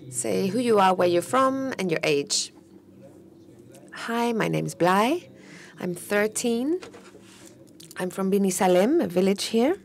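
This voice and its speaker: A woman interpreting a panel discussion live, her voice carrying a gravelly, fry-tinged edge at a middle pitch. She speaks steadily but slightly haltingly, with restarts as she keeps up with each speaker.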